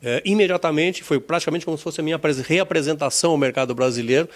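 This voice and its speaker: A man's voice: fairly high pitch, fast talker. A middle-aged man from Brazil, an insurance executive looking back on his career in an oral history interview, reflective and leaning on key words. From Rio Grande do Sul, Brazil.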